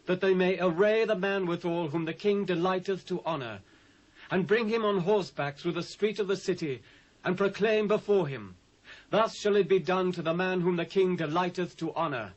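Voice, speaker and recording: Vain ambitious courtier, high voice, grand and declamatory, audio drama, dull old tape sound. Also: male voice, moderate pace. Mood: self-important. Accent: British.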